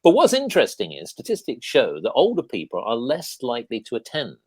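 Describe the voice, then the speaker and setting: Male co-host, lively varied pitch, theatrical conversational presenting, dry recording. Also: a little fast, husky, no room sound. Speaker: co-host of a talk show. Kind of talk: conversational radio-style commentary.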